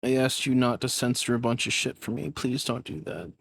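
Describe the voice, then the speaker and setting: A man's voice: mid-range voice, fairly even intonation, fairly quick, gravelly, breathy edge. A man chatting casually as a livestreamer, rambling and swearing in an informal way. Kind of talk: casual reaction stream chat.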